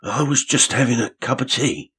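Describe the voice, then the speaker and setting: A man reading aloud, voicing a shabby, nervous little male caretaker with a husky voice and rough grammar. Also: mid-range voice, moderate pace, fairly bright tone, voice pushed up. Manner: nervous, working-class grammar.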